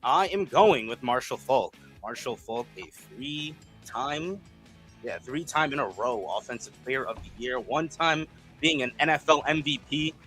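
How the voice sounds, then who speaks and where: A man's voice: medium pitch, animated, measured pace, a bit bright, soft background music. A man on a sports panel who talks casually and repeats phrases as he builds his point.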